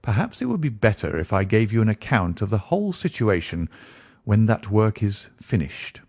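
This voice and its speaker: A man voicing a composed, self-assured gentleman detective in an audiobook, with measured, formal phrasing. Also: lowish voice, wildly swooping pitch, dry recording, exaggerated voice. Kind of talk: audiobook character dialogue.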